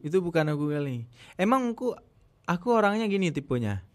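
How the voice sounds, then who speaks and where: A young man from Aceh speaks with a marked Acehnese accent in relaxed podcast conversation. His voice sits a little high for a man. He talks earnestly and circles back over his points, repeating key phrases. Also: a little fast. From Aceh, Indonesia. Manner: earnest, repeats himself for emphasis. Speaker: podcast guest, traveler.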